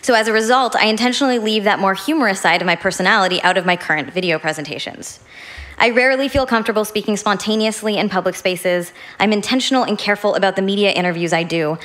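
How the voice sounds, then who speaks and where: A woman speaks in a measured, deliberate way, delivering a prepared talk. Her pitch sits in a middle range, and each phrase is steady and carefully placed. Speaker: speaker in a played video.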